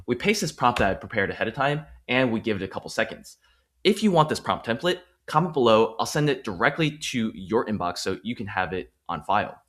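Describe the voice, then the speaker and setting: Low-pitched man presenting a tutorial. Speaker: host of a finance tutorial. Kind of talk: explanatory screen tutorial with sales-pitch phrasing.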